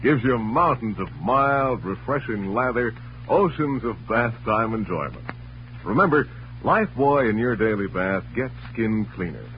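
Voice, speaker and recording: Polished mid-pitched male radio announcer. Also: animated, measured pace, slight background noise. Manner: polished, emphatic. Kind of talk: 1940s radio announcing, scripted narration and ad. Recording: muffled old radio recording, music behind.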